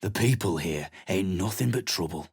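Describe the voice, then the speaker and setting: A man voicing a gruff, rough-spoken mercenary leader, surly and contemptuous. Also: sits low, expressive, moderate pace, darkish tone, no echo, clean studio sound, heavily acted. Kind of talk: video game voice acting.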